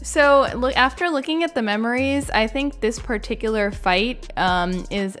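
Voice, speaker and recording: A woman's voice: fairly high pitch, moderate pace, a bit bright. A woman giving casual, unscripted gaming commentary in a chatty, informal way, peppered with "like" and "you know.". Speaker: gaming video creator and commentator.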